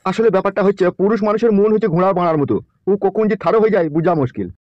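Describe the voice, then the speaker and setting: A man playing a scheming, lecherous politician in a comedy cartoon, crude and smooth-talking, with a West Bengal Bengali accent. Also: high voice, racing, highs cut off, background noise, natural voice. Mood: sweet-talking, flirtatious.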